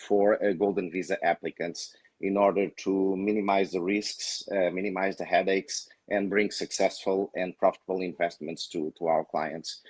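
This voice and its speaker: A man's voice: low voice, a little mellow, no room sound. A man from Portugal with a Portuguese accent, a company founder introducing himself hesitantly on a webinar panel. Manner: hesitant, many fillers.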